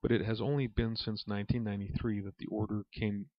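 A man reading aloud from a spiritual book as a lesson, in a measured, reverent manner. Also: on the low side, level tone. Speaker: reader and religious teacher. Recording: dull, lo-fi recording in an echoey room.

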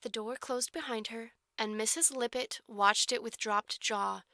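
A woman's voice: on the high side, steady tone, measured pace, sharp tone, no echo, studio quality. A woman reading scripted storybook narration as an audiobook narrator.

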